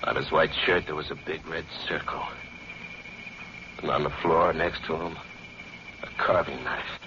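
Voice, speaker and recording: A man's voice: a bass voice, measured pace. A man playing a jittery, defensive hard-boiled American narrator in an old-time radio thriller, slangy and dramatic. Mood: grim, haunted. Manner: slangy, stammering under pressure, dramatic. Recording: muffled, very noisy old radio recording.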